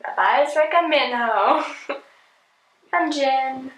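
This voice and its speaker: A woman hosting a casual reaction video, chatting and giggling, often filling pauses with "like". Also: high-pitched, a bit bright, echoey room, poor audio. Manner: chatty, giggly, filler-heavy.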